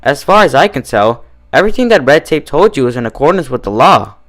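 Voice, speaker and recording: A man puts on a studious young mare from a cartoon fan story. Her voice is raised in pitch and animated. She sounds concerned, gentle and reasoning. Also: fairly quick. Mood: sympathetic. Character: concerned, studious young female pony scholar. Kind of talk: cartoon fan fiction character reading.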